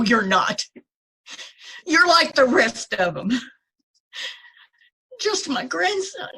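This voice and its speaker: A woman in a radio drama playing a bitter, grieving grandmother who is widowed and alone, speaking in a halting, resentful way. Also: high voice, pushed and bright, exaggerated voice, low-quality recording. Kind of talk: 1950s radio drama acting.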